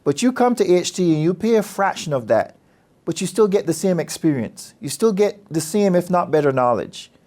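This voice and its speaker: A man from Barbados, a business school dean speaking about his program in a measured, earnest, persuasive way. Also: high voice, animated, mellow. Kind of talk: promotional interview talk.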